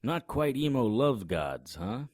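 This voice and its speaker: A man's voice: medium pitch, big pitch swings, slow pace, a little mellow, no echo. A man playing a wisecracking monster hunter in an audio drama, speaking in a dry, sardonic, matter-of-fact way. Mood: wry. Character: wisecracking middle-aged male monster hunter. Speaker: vampire hunter and narrator.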